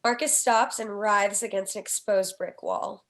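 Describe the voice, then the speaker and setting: A woman's voice: medium pitch, steady tone, measured pace, fairly bright tone. A woman reading scripted screenplay stage directions as narrator at a table read.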